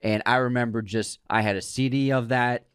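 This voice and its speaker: A man's voice: mid-range voice, steady tone, moderate pace, clear, resonant tone, no echo, clean studio sound. A man co-hosting a film podcast, chatting casually and enthusiastically with some rambling. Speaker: podcast co-host. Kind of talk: casual chat.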